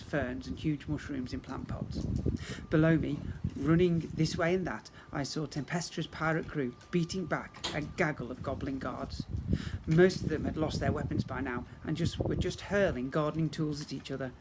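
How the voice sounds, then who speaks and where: A man's voice: a little high, creaky. Man reading a children's story, noisy recording. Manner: expressive, dramatic storytelling. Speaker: teacher reading to pupils. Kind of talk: children's storybook read-aloud.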